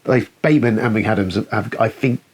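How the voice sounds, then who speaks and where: A man's voice: on the low side, brisk, breathy edge. A man hosting a film review, talking casually in an enthusiastic, rambling, sweary way. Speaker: host of a film review show.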